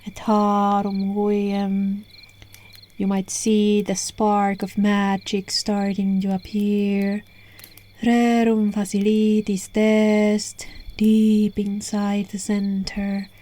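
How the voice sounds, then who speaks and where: Woman doing a seductive gentle witch casting a sleepy spell, asmr, soft breathy whispery, super slow, even and soothing, recorded on a phone. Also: mid-range voice. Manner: soothing, hushed, unhurried, coaxing. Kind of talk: ASMR roleplay, slow hypnotic incantation.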